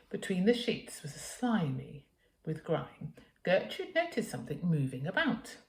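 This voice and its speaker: A woman's voice: low voice, darkish tone. Woman reading a story, echoey room. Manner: dramatic, emphatic reading for children. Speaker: teacher reading a children's story aloud. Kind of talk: expressive storybook narration.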